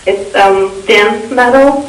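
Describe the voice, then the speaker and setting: A woman's voice: a little high, takes it slowly. A woman from Russia, a native Russian speaker, giving casual answers as an interview guest. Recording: echoey, distant, faint hiss.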